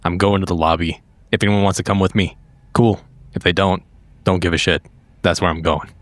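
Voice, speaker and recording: A man's voice: low voice, steady tone, a little fast, no room sound. A man playing a tabletop roleplaying game, improvising casually in character.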